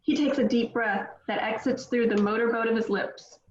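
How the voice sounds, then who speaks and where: A woman's voice: a little high, steady tone, normal speed, slight background noise. A woman reading stage directions aloud at a script table read. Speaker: script reader. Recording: echoey room, dull lo-fi sound.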